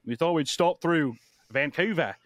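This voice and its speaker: A man's voice: normal speed, no room sound. An American man chatting casually on a podcast, telling stories with lots of swearing and acting out other people's lines. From United States. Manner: animated storyteller, swears often, acts out quotes in a high voice. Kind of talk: casual profane chat.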